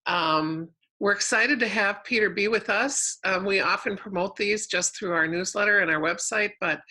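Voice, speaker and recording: Female host for informal interview: slightly low pitch, crisp bright tone, speaks in loose, halting phrases. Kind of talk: conversational interview introduction.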